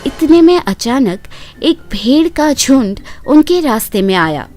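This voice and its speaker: A woman tells a children's moral tale in a high, lively voice. She narrates expressively and takes her time, with soft music behind her. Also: a little slow. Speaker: story narrator.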